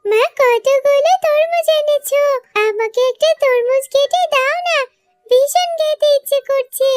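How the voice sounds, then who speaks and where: A woman's voice: very high-pitched, unhurried, faint music behind, voice pushed up. A woman voicing an eager, pleading little girl fox cub in a children's cartoon.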